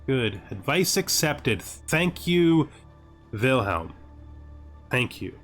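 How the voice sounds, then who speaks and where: A man's voice: medium pitch, very animated, extremely slow, fairly bright tone. A man giving casual video game commentary, thinking aloud with rhetorical questions and exasperated asides, sometimes reading game text aloud. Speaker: gaming series host. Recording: music behind the voice. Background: background music.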